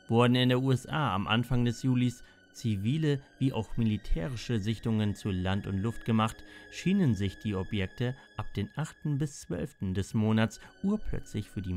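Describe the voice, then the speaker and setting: A man narrating a scripted mystery documentary in a measured way, with dramatic pauses and emphatic short sentences. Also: mid-range voice, darkish tone. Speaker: narrator of a mystery audio report.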